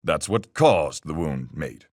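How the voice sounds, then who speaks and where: Game sailor-sleuth, low gravelly voice, lively intonation, folksy nautical phrasing, measured, reasoning, calm. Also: male voice, no echo, clean studio sound, exaggerated voice. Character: calm seafaring man, salty sailor-sleuth reasoning through a murder. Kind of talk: video game voice acting, deliberate argument.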